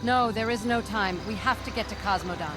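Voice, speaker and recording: A woman's voice: mid-range voice, brisk, music in the background, close to an everyday voice. A woman playing an impatient, no-nonsense elf ranger in a fantasy game cutscene. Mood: resolute. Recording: clear background noise.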